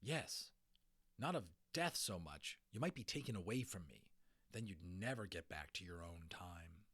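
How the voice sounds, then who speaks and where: A man's voice: deep voice, expressive, a little slow, a bit bright, slightly rough, no echo, exaggerated voice. Man reading as an earnest, cautious schoolboy. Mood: grave. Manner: serious, careful.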